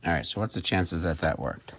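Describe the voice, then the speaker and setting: A man's voice: low-pitched, animated, brisk, creaky, over loud music. A man talking casually through a hands-on gadget demonstration, musing and thinking aloud. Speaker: vlogger demonstrating and repairing vintage electronics.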